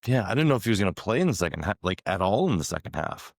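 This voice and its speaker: A man co-hosting a sports podcast, laid-back in casual chat, his voice slightly raspy from a cold. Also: lowish voice, big pitch swings, racing, no echo, clean studio sound. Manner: laid-back, reads out stats. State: coming down with a cold. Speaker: podcast co-host.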